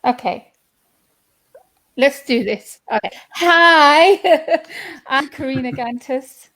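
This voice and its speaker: A woman's voice: high voice, slow, a bit bright. A woman from the UK with a British accent, an author talking casually on a video call. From United Kingdom. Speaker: author and podcast host. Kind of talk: casual panel talk. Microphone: video call with a bad connection.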